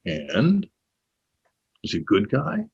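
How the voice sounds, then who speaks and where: A man playing a probing, cynical former literary agent in a naturalistic two-man play, pointed and halting, with a husky voice. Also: medium pitch, huge pitch swings, a little mellow, muffled recording, exaggerated voice. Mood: needling. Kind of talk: naturalistic stage dialogue.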